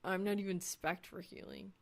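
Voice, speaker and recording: A woman's voice: mid-range voice, fairly even intonation, normal speed, lightly acted. A woman voicing an awkward, sardonic young man gamer in an audiobook, dry and self-deprecating. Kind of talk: audiobook character dialogue.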